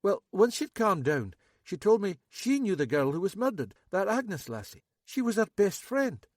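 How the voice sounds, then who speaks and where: A man voicing a jittery, battered young man who talks fast and rambles anxiously, with a Scottish accent. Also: high voice, no echo, studio quality, voice pushed up. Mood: nervous. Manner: excitable, rambling, self-pitying.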